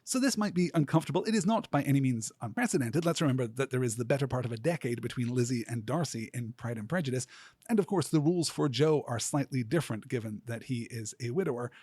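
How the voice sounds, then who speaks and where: A man with a husky, slightly rough voice delivers scripted commentary on literature. His phrasing is measured and bookish, with deliberate pauses. The recording is close and dry. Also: mid-range voice, expressive, darkish tone, no room sound. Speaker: host of a literature podcast.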